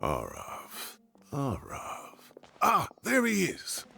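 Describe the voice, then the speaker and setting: An actor playing a fussy, theatrical old retired scholar in a video game, creaky and given to musing aloud. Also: male voice, on the high side, wildly swooping pitch, very slow, bright, forceful tone, faint music behind, heavily acted. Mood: pondering. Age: older. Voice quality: creaky elderly voice. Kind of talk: video game character dialogue.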